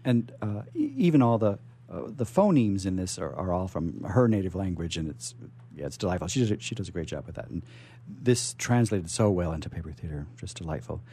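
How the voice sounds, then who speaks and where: A man hosting a live radio show in a casual, effusive and somewhat halting way. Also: deep voice, expressive, dark tone, breathy edge. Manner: effusive, hesitant, repeats himself.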